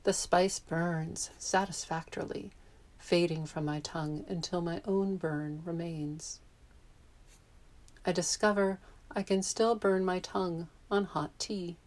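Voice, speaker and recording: A woman in her fifties reads her own essay aloud in a calm, measured way. Her voice sits a little low for a woman. She was born in Japan and grew up in the US. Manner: reflective, measured. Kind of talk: reading a personal essay.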